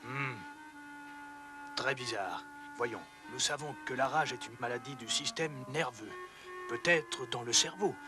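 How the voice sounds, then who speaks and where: A man playing an earnest, thoughtful scientist in a dubbed educational cartoon, musing and deliberate. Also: mid-range voice, over music, close to an everyday voice. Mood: puzzled. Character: earnest, thoughtful male scientist absorbed in his research.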